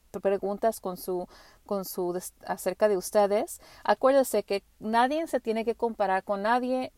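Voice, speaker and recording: A woman whose first language is English hosting her spiritual podcast, talking gently with frequent restarts and fillers. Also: medium pitch, no echo. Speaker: podcast host. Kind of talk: casual spiritual monologue.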